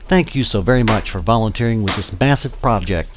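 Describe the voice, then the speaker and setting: A man's voice: on the low side, brisk, background noise. A man giving scripted, step-by-step instructional narration as a tutorial narrator for volunteers.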